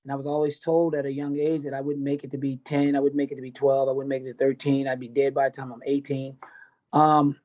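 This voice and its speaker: A man's voice: fairly high pitch, dull audio. An American man in his fifties telling his life story candidly as an interview guest, in a blunt, emphatic, unfiltered way. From United States, grew up in Tennessee and California. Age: 50s. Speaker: podcast guest, MMA coach.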